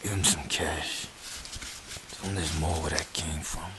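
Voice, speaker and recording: An actor playing a wounded, menacing gangster boss with a damaged, halting voice in an old-time radio drama. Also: male voice, rumbling low, steady tone. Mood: cold, commanding. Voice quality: gravelly damaged voice. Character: wounded gangster boss, frantic then cold and commanding. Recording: noisy track with ambience behind.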